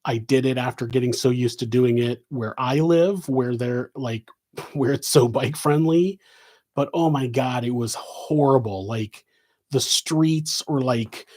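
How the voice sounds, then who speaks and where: A man's voice: mid-range voice, unhurried, a little mellow. Rambling West Coast American man. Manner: casual, digressive, with many fillers and restarts. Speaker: podcast host answering questions. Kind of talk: rambling live Q&A.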